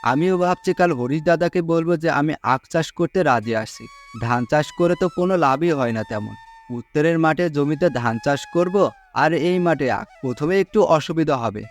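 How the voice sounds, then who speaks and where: A poor, gullible farmer from a cartoon folk tale, voiced by a man. His voice sits fairly high, and he talks in an earnest, humble, deferential way, with music playing underneath. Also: expressive, brisk, lightly acted. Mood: pondering, hopeful. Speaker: cartoon voice actor.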